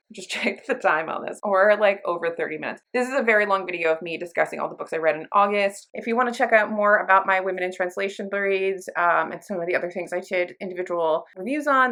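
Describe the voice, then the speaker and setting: A woman's voice: lowish voice, fairly bright tone. A woman hosting a book review channel, chatting casually to camera in a gushing, emphatic, informal way with mild slang.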